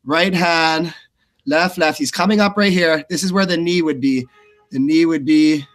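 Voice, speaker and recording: A man's voice: a little high, steady tone, moderate pace, a bit bright, faint music behind. A man doing live blow-by-blow fight commentary in short, clipped phrases.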